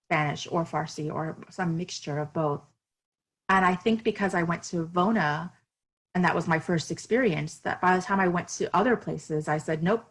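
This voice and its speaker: A woman's voice: lowish voice, a bit bright, rough recording. A woman author from Miami, speaking earnestly and reflectively as a podcast interview guest, with fillers and restarts.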